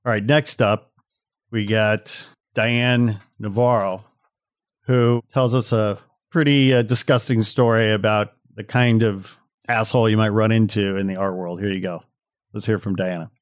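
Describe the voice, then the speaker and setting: A man with a fairly low voice hosts a podcast, recorded close and dry. He comments casually and wryly, addressing listeners directly as if in conversation. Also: steady tone, measured pace, no room sound. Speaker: podcast host.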